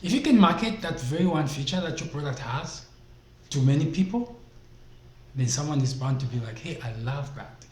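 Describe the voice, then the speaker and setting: A man's voice: a little high, animated, moderate pace, a little mellow. Emphatic man from Zambia in an echoey room. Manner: emphatic, repeats rhetorical questions. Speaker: vlogger giving business advice. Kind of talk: casual motivational talk to camera. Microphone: desk microphone. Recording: echoey room, faint background noise.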